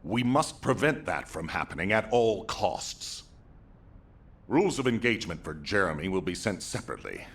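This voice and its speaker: A video game commander with a very low, gravelly voice. He is grave and weary but resolute, giving orders in a stern, measured, husky tone. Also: big pitch swings, a bit bright, exaggerated voice. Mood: stern, urgent. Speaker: commander giving mission briefings. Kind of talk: dramatic video game briefing.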